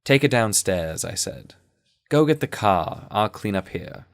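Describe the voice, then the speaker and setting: A man performs an earnest sleuth, shaken by what he has seen, recounting his adventure in audio drama. The voice sits a little low and the phrasing is literary and measured. The sound is close and dry, with little room to it. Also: expressive, no echo. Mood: quietly commanding. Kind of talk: scripted first-person audio drama narration.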